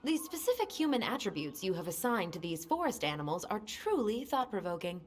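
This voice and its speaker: A woman's voice: medium pitch, big pitch swings, fairly quick, soft background music, lightly acted. A woman playing a wry, reflective young adult in a video game, speaking in an understated way. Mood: dry, teasing. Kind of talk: game cutscene voice acting. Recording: faint background noise.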